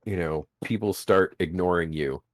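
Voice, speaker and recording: A man game master chatting casually, animated and laughing often, swearing freely, with a booming voice. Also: moderate pace, no room sound. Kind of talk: casual podcast chat.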